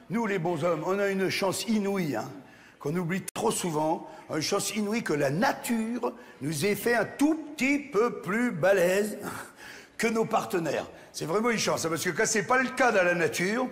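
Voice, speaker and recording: Male stand-up comedian with a Champagne accent, high voice, crude and animated; live theatre echo, crowd. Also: slight background noise. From France, Champagne region. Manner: crude, animated, talks straight to the audience. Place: large theatre stage.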